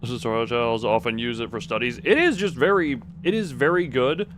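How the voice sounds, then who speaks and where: A man's voice: mid-range voice, a little fast, noisy background. A man live streaming a game, chatting casually with viewers in a rambling, exclamatory way, often restarting and rephrasing his sentences. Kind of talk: casual gaming commentary and chat with viewers.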